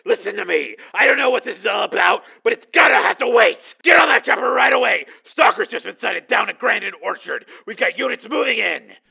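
A man's voice: sits high, expressive, fairly quick, creaky, few pauses, pushed and bright, slightly rough, raised voice, full character voice. A man voicing an older police boss in an audiobook thriller, urgent and insistent as he gives orders.

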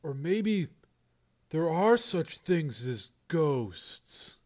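A man voicing a frightened, desperate young man in an audiobook, speaking slowly and strained. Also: a little high, expressive, voice pushed up. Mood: haunted. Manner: muttering, slow and strained.

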